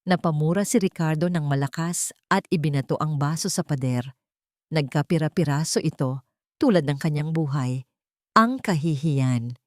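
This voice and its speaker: Woman, Filipino accent, lowish pitch, dry recording, dramatic story narration, measured phrasing. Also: a little mellow, no room sound.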